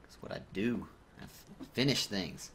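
A man's voice: fairly low pitch, animated, moderate pace, noisy background. A man in his thirties with an American accent, joking playfully while streaming a co-op game on a headset. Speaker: gaming streamer. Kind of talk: casual co-op gameplay chat. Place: indoor room at a computer.

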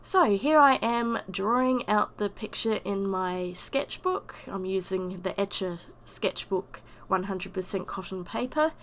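Croaky woman narrating her painting. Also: mid-range voice, expressive, a little slow, few pauses, fairly bright tone, a little hiss or hum. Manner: chatty, self-deprecating. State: croaky from a summer cold. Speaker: watercolour artist. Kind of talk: voice-over narration of a time-lapse painting.

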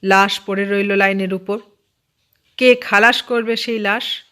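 A woman voicing an excitable, boastful, easily flustered uncle who works on the railway, in a storybook reading. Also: high-pitched, unhurried, clean tone. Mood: alarmed, scolding.

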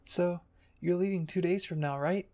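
Man voicing a lifted-pitch, kindly teenage girl cadet. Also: steady tone, moderate pace, a little mellow. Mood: kind, concerned.

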